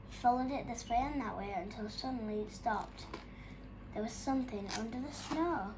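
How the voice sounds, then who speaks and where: A woman with a fairly high voice reads a children's story aloud. Her narration is expressive, and there is clear noise in the recording. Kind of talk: expressive picture-book read-aloud.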